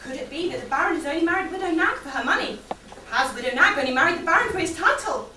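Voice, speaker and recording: A chirpy pantomime servant played by a woman narrates straight to the audience. The voice is high and animated, heard from a distance in an echoey hall. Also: fast, noisy background. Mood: dramatic storytelling. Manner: bright, declamatory, addressing the audience directly. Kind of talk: amateur pantomime stage acting and narration. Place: theatre or hall stage with audience.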